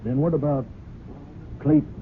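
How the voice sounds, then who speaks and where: An actor playing a grave, avuncular older judge with a measured, admonishing manner in an old-time radio drama. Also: male voice, a little high, steady tone, lightly acted. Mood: pressing. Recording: thin, phone-like vintage radio recording with hiss.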